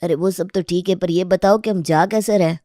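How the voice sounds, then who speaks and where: A woman voicing a grumbling, fussy uncle in an animated family story. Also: lowish voice, normal speed, no room sound, studio quality, natural voice. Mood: complaining.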